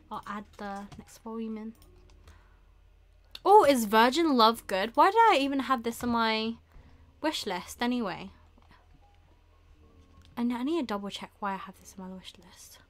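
A young woman hosting a casual live stream, thinking aloud in an unhurried way with murmured asides. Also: on the high side, expressive. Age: young adult. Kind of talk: casual chat while shopping online. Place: small indoor room with bookshelves.

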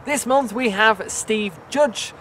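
A man co-hosting a scouting show, introducing a segment briskly and cheerfully. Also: sits high, fairly bright tone, a little hiss or hum. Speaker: show co-host.

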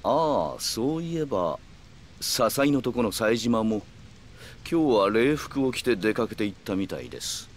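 Male cool, courteous gangster for a crime game: very low register, measured and formal, some ambient noise behind. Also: big pitch swings. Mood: coolly polite. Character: calm, calculating yakuza man, coolly polite. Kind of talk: video game cutscene acting.

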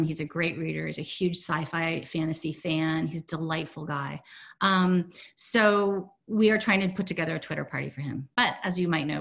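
A woman in her forties on a video call from home, presenting in a chatty, upbeat way with many fillers, her voice going hoarse. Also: low-pitched, a bit bright. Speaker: program director presenting a webinar. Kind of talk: casual webinar presentation. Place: home room, indoors. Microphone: video call, laptop mic.

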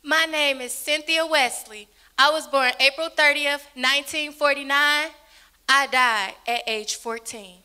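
Woman as a serious young girl, super bright high voice. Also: fairly even intonation, unhurried, full character voice. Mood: solemn. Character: young girl victim, solemn. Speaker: actor in a church skit. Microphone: handheld mic.

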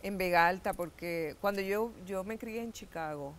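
A middle-aged Puerto Rican woman, an actress, telling stories as a guest in a TV studio interview, animated and gesturing. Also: mid-range voice, normal speed. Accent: Puerto Rican Spanish. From Puerto Rico. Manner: animated storytelling with gestures, laughs and acted-out quotes. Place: TV studio set.

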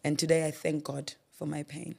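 A woman's voice: low voice, flat delivery, normal speed, vocal fry, darkish tone. A South African woman in her thirties, a guest author speaking in a preacherly way and repeating phrases for emphasis, on a studio mic. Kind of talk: faith-based interview talk. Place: podcast studio with a yellow backdrop. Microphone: studio mic on a boom arm.

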